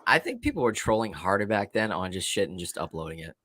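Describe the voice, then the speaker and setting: A man in his late thirties chatting casually on a livestream, telling rapid, profane stories. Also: lowish voice, sing-song, sharp tone, slight background noise. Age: 30s. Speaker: livestream guest. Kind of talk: casual chat.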